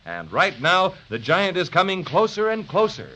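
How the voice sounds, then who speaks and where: An actor serving as narrator, a lively storyteller recapping in scripted 1930s children's radio style. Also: male voice, sits high, moderate pace, a little hiss or hum, exaggerated voice. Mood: animated storytelling. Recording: dull 1930s radio transcription.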